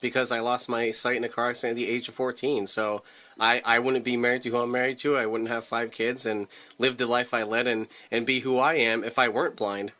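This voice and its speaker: A man with a bright, forward voice at an ordinary male pitch co-hosts a podcast. His talk is casual and conversational, with an easygoing, agreeable way about it. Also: little pitch movement, fairly quick. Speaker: podcast co-host.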